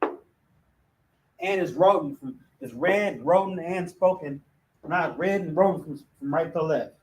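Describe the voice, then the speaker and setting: Male American religious class instructor. Slightly high voice, emphatic and conversational, peppered with verbal tags, picked up from a distance. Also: takes it slowly. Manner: emphatic, with frequent "you know what I'm saying". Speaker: religious group officer teaching a Hebrew class. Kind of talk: informal religious language lesson to camera.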